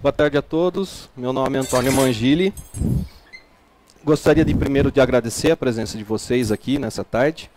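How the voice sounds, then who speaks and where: A man's voice: mid-range voice, moderate pace, noisy background. A man, a chef giving a cooking demonstration, explaining step by step and checking in with the audience. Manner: explanatory, with tag questions.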